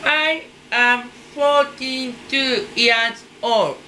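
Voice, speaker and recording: A woman's voice: fairly high pitch, sharp tone, a little hiss or hum. A woman in her forties with a Japanese accent, a native Japanese speaker, hesitantly repeating phrases in an online English lesson, recorded by a webcam at a desk in a small room. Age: 40s. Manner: hesitant, repeats phrases slowly while learning. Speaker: English learner.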